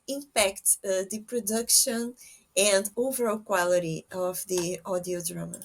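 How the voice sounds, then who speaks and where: A woman's voice: medium pitch, fairly even intonation, unhurried. A woman hosting a casual interview, speaking hesitantly as she searches for words. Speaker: interviewer, podcast host.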